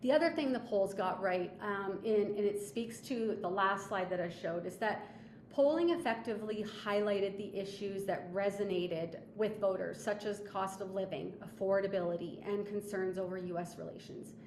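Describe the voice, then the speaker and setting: A woman's voice: medium pitch, moderate pace, darkish tone. Woman presenting in an echoey room. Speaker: webinar presenter, political analyst. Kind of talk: slide presentation of polling analysis. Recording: echoey room with faint background noise.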